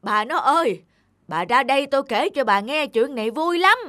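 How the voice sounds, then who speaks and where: A woman's voice: sits high, animated, moderate pace, a bit bright, no room sound, clean studio sound, pitched above the performer's own voice. A woman voicing an honest, simple old male farmer in a cartoon folktale, earnest and kindly. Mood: excited, friendly. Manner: earnest, good-natured. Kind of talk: cartoon folktale character acting.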